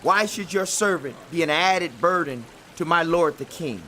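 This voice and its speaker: A man's voice: fairly high pitch, animated, normal speed, bright, forceful tone, slight background noise. An actor playing a humble eighty-year-old man, pleading in rhetorical questions in a dramatized audio Bible. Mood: humble, weary. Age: 80s. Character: very old, humble, wealthy man declining a royal invitation.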